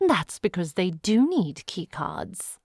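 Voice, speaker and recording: A woman's voice: on the low side, big pitch swings, slow pace, very noisy, heavily acted. A woman voicing a smug, taunting villainess with a short temper in an anime-style audio drama, theatrical and mocking. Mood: smug, teasing. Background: music.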